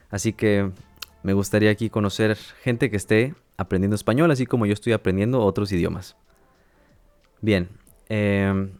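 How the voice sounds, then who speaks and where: Calm low-voiced man teaching. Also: no echo. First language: Spanish. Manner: calm, measured, with teacherly check-ins and light laughs. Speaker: language teacher and podcast host. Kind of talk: educational podcast monologue.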